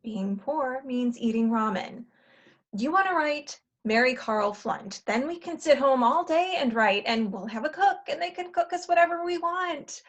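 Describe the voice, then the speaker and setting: Actress doing pushy cynical woman in her twenties, high voice, blunt dry delivery, comedy play. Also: lively intonation, normal speed. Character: cynical, money-minded, pushy woman of twenty-seven from the future. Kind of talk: comedy play read aloud.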